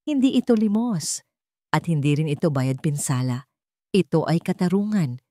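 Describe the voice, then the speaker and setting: Woman acting as old hacienda don, remorseful, grave, deliberate, formal, storybook drama, dry sound. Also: sits low, lively intonation, dark tone, dry recording. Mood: solemn. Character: remorseful, solemn old male hacienda patriarch.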